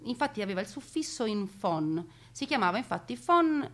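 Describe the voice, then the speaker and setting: A woman narrating a family wartime story as a theatrical monologue, with dramatic pauses and deliberate emphasis. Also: medium pitch, big pitch swings. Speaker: stage narrator telling grandfather's wartime story.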